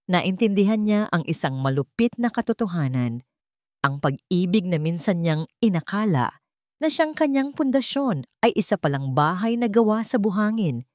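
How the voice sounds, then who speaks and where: Female Filipino narrator, low register, solemn dramatic storytelling, dry studio-style sound. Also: a little mellow, dry recording.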